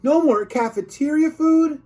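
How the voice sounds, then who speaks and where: A man voicing a mischievous, excitable schoolboy in a children's storybook, exclaiming and protesting. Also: very high-pitched, a little slow, voice pushed up. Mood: cheeky.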